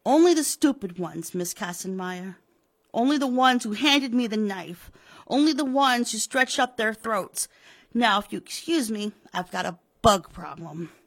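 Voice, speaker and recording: A woman's voice: on the high side, expressive, a little slow, no echo. A woman voicing a ruthless, contemptuous rich old businessman with a sneering, arrogant manner, told as a spoken story.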